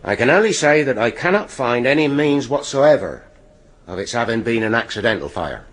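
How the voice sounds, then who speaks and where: Actor as husky British police inspector. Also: male voice, medium pitch, lively intonation, normal speed, lightly acted. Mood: careful, firm. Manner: formal, precise, factual. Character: dutiful male police inspector giving careful evidence. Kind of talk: radio courtroom drama acting.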